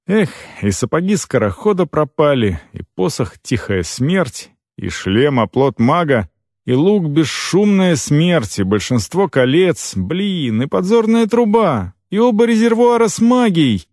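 A man's voice: medium pitch, a little mellow, dry recording, studio quality. A man narrating first-person fiction as an audiobook, in a conversational, wry storytelling manner.

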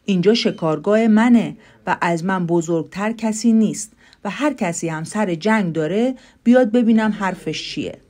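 A woman's voice: mid-range voice, warm tone, natural voice. A woman voicing a boastful rival male wolf in a fairy tale, haughty and threatening.

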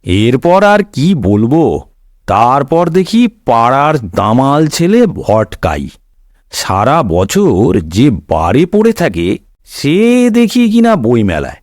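A man's voice: on the high side, huge pitch swings, takes it slowly, a little mellow, no room sound, studio quality. A man narrating a scripted comic cartoon story in a wry, theatrical way. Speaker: storyteller host.

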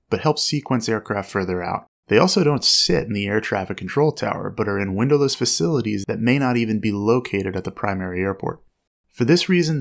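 A man with a fairly low voice reads scripted instructional narration. He speaks as an instructor, explaining things step by step in a clear and measured manner.

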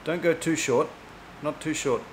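A man's voice: a little high, a little slow. A man, chatting and teasing as a vlogger while getting a haircut, filmed on a camera held at arm's length. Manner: teasing, chatty, laughs often. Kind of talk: casual chat. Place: busy barber shop, indoors. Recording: noisy shop background.

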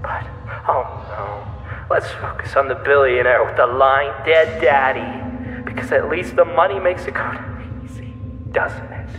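Male actor as deranged bitter killer, slow theatrical delivery, halting, gleeful menace, echoey hall, audio drama. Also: medium pitch, a bit bright, natural voice. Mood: bitter, resentful. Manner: halting, breathy whispers to sudden outbursts. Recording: echoey reverberant hall, faint music behind.

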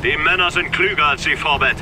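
A man's voice: a little high, a little fast, noisy background, lightly acted. A man playing a composed commander-in-chief. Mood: cool authority. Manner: cool, composed. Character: calm authoritative commander-in-chief, male.

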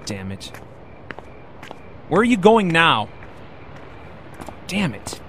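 An actor playing a doubting, stubborn reluctant hero in a fantasy audio drama, always questioning. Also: male voice, on the high side, very animated, extremely slow, a bit bright, a little hiss or hum, full character voice. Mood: defensive. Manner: questioning, skeptical.

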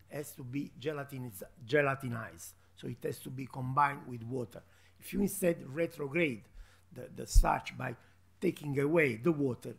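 A man speaking on a conference panel, halting with many restarts, into a table microphone in a large hall. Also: mid-range voice, creaky, fairly bright tone, breathy edge.

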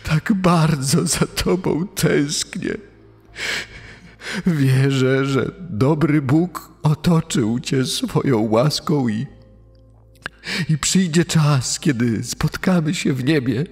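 A man playing a heartbroken father haltingly giving his son's funeral eulogy in a podcast dramatization, gravelly and husky. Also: on the high side, darkish tone, exaggerated voice. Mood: grieving, pleading. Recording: long hall reverb, music underneath.

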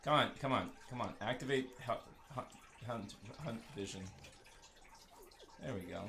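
A man's voice: moderate pace, creaky. A man giving casual, rambling gameplay commentary with joking asides to viewers. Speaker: gamer recording a playthrough. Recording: noisy, uneven low-level home recording.

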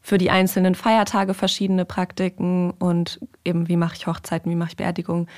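A woman's voice: fairly low pitch, monotone, fairly quick, darkish tone. A young woman talking casually and informally as a podcast guest, explaining things in conversation. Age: young adult. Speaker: podcast guest, rabbinical trainee.

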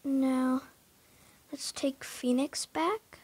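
A woman's voice: high voice, fairly even intonation, very slow, a little mellow. A young woman casually talking through her horse barn in a game, hesitant, full of fillers and often dropping into a whisper.

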